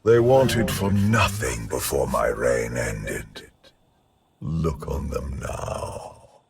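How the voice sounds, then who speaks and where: A cold, scornful emperor spirit from a video game, with an extremely deep voice full of grinding gravel. He drawls each word very slowly. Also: animated, heavily acted. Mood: brooding. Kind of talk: video game cutscene acting.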